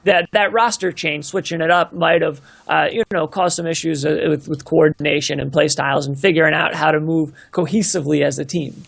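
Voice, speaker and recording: Gravelly, higher-voiced male co-caster. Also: steady tone, brisk, hardly any pauses, fairly bright tone. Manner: easygoing, agreeable, repeats words as he thinks. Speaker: guest co-caster. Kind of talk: casual esports commentary.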